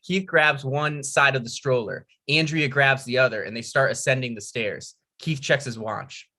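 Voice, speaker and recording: Bright-voiced man reading stage directions. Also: mid-range voice, moderate pace. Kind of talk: scripted narration.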